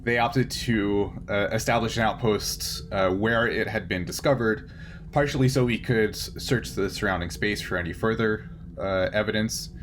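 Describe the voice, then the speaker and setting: A man's voice: on the low side, steady tone, normal speed, a bit bright, slight background noise. A man narrating as game master of a tabletop roleplaying game, improvising and often searching for words.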